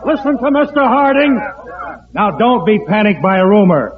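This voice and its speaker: An actor playing a forceful government counterspy chief with a raised, authoritative voice in 1940s radio drama. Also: male voice, high-pitched, very animated, moderate pace. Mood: urgent, commanding. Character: commanding male government counterspy chief calming a crowd. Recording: dull old radio recording with crowd noise.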